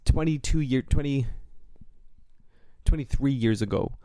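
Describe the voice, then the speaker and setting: A man's voice: mid-range voice, a little slow, dark tone, dry recording. A man in his twenties co-hosting a podcast, chatting casually in a rambling, jokey way with plenty of swearing. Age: 20s. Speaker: podcast co-host. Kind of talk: casual chat.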